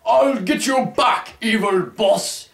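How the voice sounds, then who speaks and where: A man's voice: high voice, slow, gravelly, a bit bright, voice pushed up, heavily acted. A young man voicing an earnest, overdramatic male action hero from a fan fiction story, in a declamatory, melodramatic delivery. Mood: urgent, furious. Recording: roomy camera sound.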